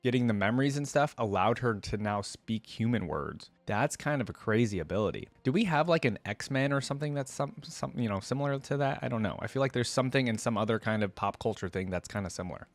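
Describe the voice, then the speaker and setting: A man's voice: medium pitch, animated, fast talker, hardly any pauses, no room sound. A man hosting a reaction video in a casual, conversational way, thinking aloud and reading aloud.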